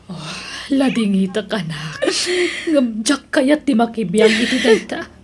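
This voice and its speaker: A woman's voice: a little high, expressive, unhurried, husky, exaggerated voice. An actress playing a sorrowful, helpless mother in a radio drama, with an Ilocano accent. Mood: sad, helpless. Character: sorrowful, helpless mother afraid of her husband. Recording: noisy background ambience.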